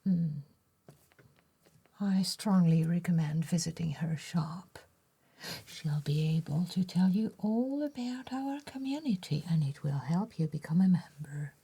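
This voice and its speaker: A woman playing a shy, courteous village writer in a soft-spoken ASMR roleplay, hesitant and gentle, with a breathy whisper. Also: lowish voice, lively intonation, a little slow, heavily acted. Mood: helpful, gentle. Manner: hesitant, gentle, politely formal.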